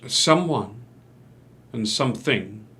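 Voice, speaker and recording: A man's voice: on the low side, animated, takes it slowly, bright, forceful tone, full character voice. An actor playing a smug, insolent young male student, clipped and challenging, in a theatrical table read. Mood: smug, defiant.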